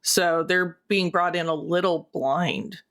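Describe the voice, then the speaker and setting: A woman's voice: lowish voice, fairly even intonation, takes it slowly, a bit bright. A woman speaking casually as a cast member on a podcast. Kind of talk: casual chat.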